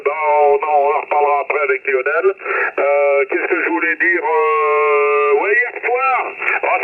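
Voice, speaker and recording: A man chatting casually over amateur radio, wandering from one thought to the next. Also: sits high. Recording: phone-like, noisy radio transmission.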